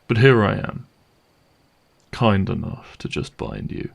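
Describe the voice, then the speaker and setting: Guy doing a vampire hunter for audio roleplay, really creaky low-ish voice, slow, sardonic, menacing. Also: very animated, exaggerated voice. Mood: probing, threatening. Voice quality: gravelly, creaky. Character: cynical, menacing male vampire hunter interrogating a captive.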